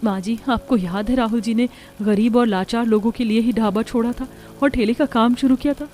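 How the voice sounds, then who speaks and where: A woman's voice: a little high, steady tone, brisk, warm tone, natural voice. Earnest, respectful young daughter-in-law by a woman. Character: kind, sensible young village daughter-in-law.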